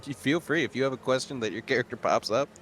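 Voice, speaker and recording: A man's voice: medium pitch, fast talker, fairly bright tone, a little hiss or hum. A man playing a tabletop game, chatting casually with a dry, offhand manner.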